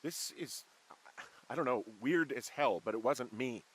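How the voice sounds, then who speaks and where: An actor playing a laid-back middle-aged male fire lookout in a video game, American, casual and deadpan with a slightly defensive edge. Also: mid-range voice, unhurried, heavy background noise, lightly acted. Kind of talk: naturalistic video game dialogue.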